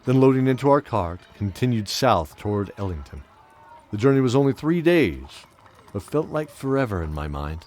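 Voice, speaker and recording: A man playing a brooding old knight who tells his own tale in a measured, reflective, dramatic way for an audio drama. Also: medium pitch, big pitch swings, a little mellow, lightly acted. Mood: pensive. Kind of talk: first-person audio drama narration.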